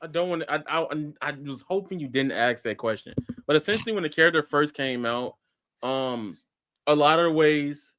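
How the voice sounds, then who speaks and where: A man's voice: on the high side, moderate pace, fairly bright tone. A man who is a guest on a casual panel talk, speaking hesitantly with fillers and frequently checking "right?".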